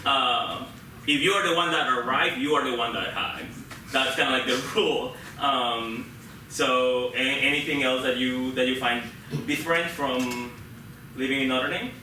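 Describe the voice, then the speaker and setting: A man from Peru hosting a casual live interview on stage, speaking informally and haltingly, often repeating words as he puts his questions. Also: medium pitch. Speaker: host interviewing a guest on stage. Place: live show venue with an audience of about fifty. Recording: roomy echo, distant mic, loud background noise.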